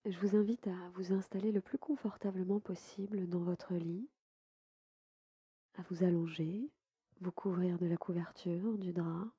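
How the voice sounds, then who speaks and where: A woman softly guiding a sleep meditation as a yoga nidra host, unhurried and soothing, with a breathy voice that sometimes nears a whisper. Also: fairly low pitch, steady tone, dry recording, muffled recording. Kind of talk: guided sleep meditation narration.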